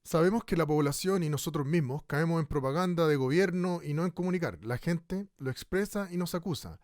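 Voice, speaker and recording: Dystopian radio play actor as male host: polite, earnest, slightly hesitant, fairly high voice, dry sound. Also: brisk, a little mellow, no echo, natural voice. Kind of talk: radio play as live news talk show.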